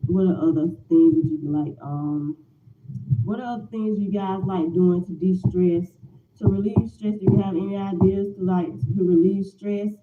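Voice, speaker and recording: A woman's voice: medium pitch, fairly even intonation, moderate pace, over music. Forties woman vlogger, chatty and meandering; recorded on a tinny line in a roomy, noisy space. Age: 40s. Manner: rambling, repeats herself, frequent "um" fillers. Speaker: lifestyle vlogger. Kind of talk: casual morning chat to viewers.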